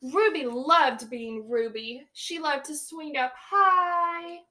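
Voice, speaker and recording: A woman's voice: sits high, takes it slowly, pushed and bright. A woman teacher reading a picture book aloud to young children, stopping to ask them questions and explain words.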